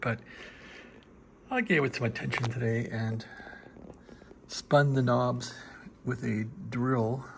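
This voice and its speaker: Man, gravelly fry, medium pitch, laid-back halting hobbyist show-and-tell, faint background noise. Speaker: hobbyist showing a restored radio receiver. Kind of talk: casual rambling show-and-tell.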